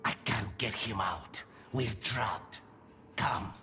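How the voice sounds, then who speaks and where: An actor playing an urgent, desperate demon spirit in a video game, speaking in short, halting, insistent phrases. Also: male voice, lowish voice. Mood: urgent, pleading. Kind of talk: video game character dialogue. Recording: loud music behind.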